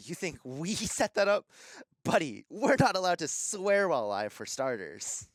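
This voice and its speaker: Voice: medium pitch, very animated, measured pace, no room sound. An actor playing a harried, exasperated male radio host in an audio drama, stammering and strained.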